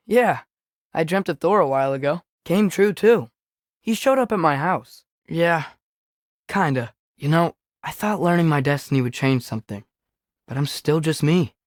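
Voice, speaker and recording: A man's voice: a little high, no echo, studio quality, natural voice. A young male actor playing an earnest, curious, impulsive teenage boy in a Norse myth video game, speaking naturally with a hesitant, boyish manner.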